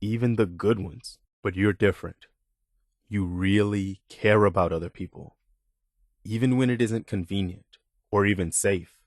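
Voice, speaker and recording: An actor playing an earnest, weary militia soldier in a video game, loyal and humble, with a sincere, plain-spoken manner. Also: male voice, lowish voice, measured pace, dry recording, clean studio sound, natural voice. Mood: heartfelt, sincere. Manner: sincere, plain-spoken, hesitant when opening up. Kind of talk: video game character dialogue.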